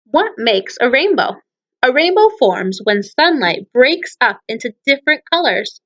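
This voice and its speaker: Woman reading to children, high lively voice. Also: a little slow, highs cut off. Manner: addresses children with frequent questions. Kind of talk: read-aloud of children's poems with explanations.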